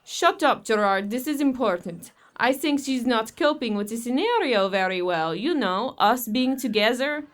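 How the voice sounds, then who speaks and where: A woman's voice: on the high side, expressive, moderate pace, few pauses, natural voice. A woman playing a worried, bitter young girlfriend in an audio horror drama, speaking earnestly and with exasperation. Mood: exasperated.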